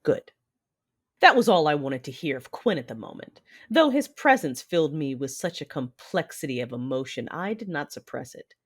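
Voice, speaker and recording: A woman as an expressive, imperious dark warlord. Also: sits low, slightly rough, heavily acted. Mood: commanding. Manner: measured, imperious. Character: commanding, calculating dark-side warlord mother in armor. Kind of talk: first-person dramatic narration.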